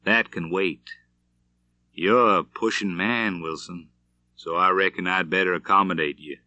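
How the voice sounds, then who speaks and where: Male narrator as cool Old West gunfighter, low pitch, gentle, terse, controlled menace, dry audiobook sound. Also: fairly even intonation, measured pace, no echo, lo-fi sound, exaggerated voice. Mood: quiet, resolute. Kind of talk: audiobook character reading.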